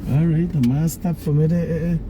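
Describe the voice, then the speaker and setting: A man's voice: on the high side, fairly even intonation, brisk. A man from Guyana with a Guyanese accent, giving casual, opinionated live commentary in colloquial speech and repeating phrases for emphasis. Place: in a moving car on the road. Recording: noisy in-car recording with road sounds.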